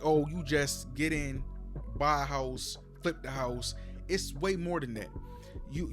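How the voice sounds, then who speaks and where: A man hosting a reaction video, explaining his views in a casual, lecturing way and often repeating himself. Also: fairly high pitch. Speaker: commentary host reacting to a clip.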